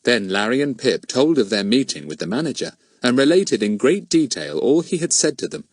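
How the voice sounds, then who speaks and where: A man's voice: medium pitch, very animated, a little fast, no room sound, clean studio sound. A man reading a children's story as an audiobook narrator, in scripted narration.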